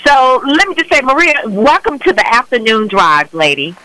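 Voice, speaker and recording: A woman's voice: sits high, sing-song, normal speed, pushed and bright, rough recording. A woman hosting an afternoon radio talk show, chatty and enthusiastic as she interviews a guest. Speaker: radio host. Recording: radio broadcast, dry.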